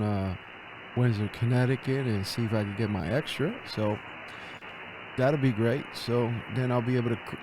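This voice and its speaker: A man's voice: medium pitch, background noise. A man who is an amateur radio operator, chatting casually and making radio calls. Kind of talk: casual chat and radio calling with phonetic alphabet.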